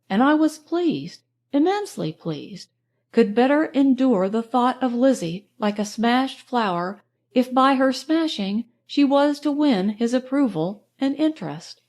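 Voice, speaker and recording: A woman narrating a literary novel in the first person, in a measured, expressive reading style. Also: on the high side. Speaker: audiobook narrator.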